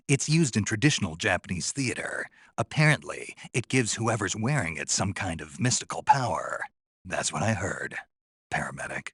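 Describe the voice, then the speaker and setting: An actor playing a gruff, earnest, deadpan American soldier on a covert mission in a video game, with a gravelly, husky voice and terse delivery. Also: male voice, low-pitched, dry recording, clean studio sound, exaggerated voice. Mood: deadpan curiosity. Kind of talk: video game voice acting, radio dialogue.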